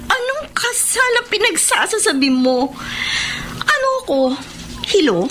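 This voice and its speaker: A woman's voice: very high voice, big pitch swings, measured pace, bright, forceful tone, full character voice. An actress playing a spoiled, domineering young woman in a radio drama, flippant and dismissive. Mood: scornful. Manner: dismissive, flippant, mixes English into speech. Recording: noisy radio drama broadcast.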